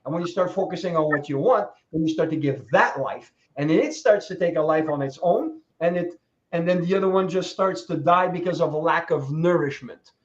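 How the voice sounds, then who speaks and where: A man in his sixties tells motivational stories in a high, bright register. He laughs between lines and acts out the voices of the people he quotes. The sound is dull and lo-fi. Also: fairly quick. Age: 60s. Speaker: guest speaker. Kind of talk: motivational storytelling.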